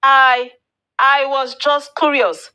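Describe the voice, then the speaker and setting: A woman's voice: high-pitched, level tone, slow, sharp tone, heavily acted. A woman voicing a curious, nervous young boy in a storybook tale, earnest and insistent. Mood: sheepish.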